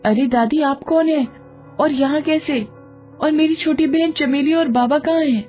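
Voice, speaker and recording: A woman's voice: high voice, unhurried, phone-quality sound, faint music behind, voice pushed up. A woman voicing a brave, clever young village girl in a cartoon story, raised and urgent. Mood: alarmed.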